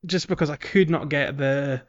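A man's voice: fairly high pitch, normal speed, lo-fi sound. A man co-hosting a gaming podcast in casual chat, recapping things in a chatty, descriptive way. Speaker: podcast host.

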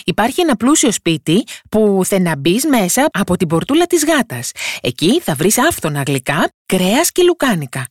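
Woman doing a shrill tiny cheeky boy. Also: big pitch swings, no echo, clean studio sound. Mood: coaxing. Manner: high shrill shouting.

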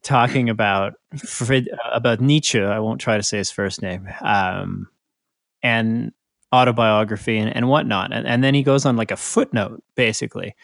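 A man co-hosting a podcast in casual conversation, talking in a halting way and often correcting himself. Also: lowish voice, creaky, fairly bright tone, no echo. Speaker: podcast co-host. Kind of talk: casual chat.

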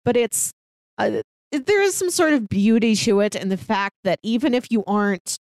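A woman co-hosting a film discussion podcast, talking casually and reflectively with halting phrasing. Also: on the high side, very animated, darkish tone, dry recording, clean studio sound. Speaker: podcast co-host reviewing films.

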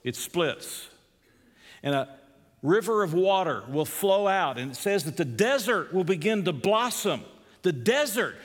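A middle-aged man with a Georgia accent preaching an expository sermon, rising to emphatic peaks and dropping low and slow when he reads scripture. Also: long reverb tail. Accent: Southern US (Georgia).

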